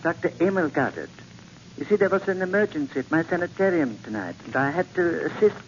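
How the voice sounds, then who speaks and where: An actor playing an apologetic, fussy sanitarium doctor, polite and hesitant, in 1940s radio style. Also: male voice, a little high, very animated, fairly quick. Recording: muffled, hissy 1940s radio recording.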